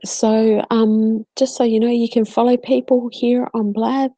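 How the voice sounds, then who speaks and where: A woman's voice: a little high, flat delivery, a little slow, dark tone, no room sound, poor audio. A woman from South Australia with a South Australian accent, co-hosting a casual live-stream Q&A and chatting to viewers, with plenty of ums. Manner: chatty, many ums, answers viewers by name. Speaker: co-host.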